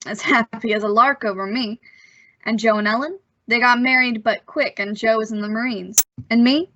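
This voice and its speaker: A woman plays a plucky teenage boy in an old radio thriller. The voice is high and bright, with an earnest, slightly hesitant gee-whiz delivery. It sounds close and dry, with dulled lo-fi audio. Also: fairly even intonation, moderate pace, no echo. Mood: earnest recounting. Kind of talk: old-time radio suspense acting.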